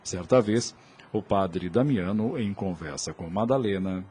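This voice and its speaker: A man's voice: sits low, a little slow, mellow, slightly rough. A man with a Brazilian accent giving scripted dramatic narration as the storyteller of an audio drama.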